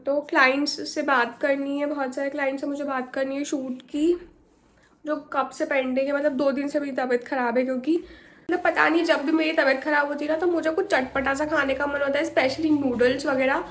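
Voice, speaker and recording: A woman's voice: high voice, little pitch movement, a little fast. A young woman from India chatting to camera at home in a rambling vlog, her voice a little off from a sore throat. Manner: chatty, rambling, many fillers. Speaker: vlogger. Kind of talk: casual chat to camera.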